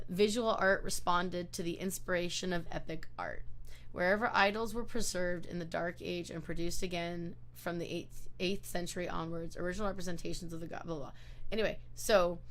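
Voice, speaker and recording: Lowish-voiced woman host, loose and rambling, on a video call. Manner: chatty, rambling, many fillers and restarts. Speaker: book club host. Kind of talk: casual book discussion over a video call.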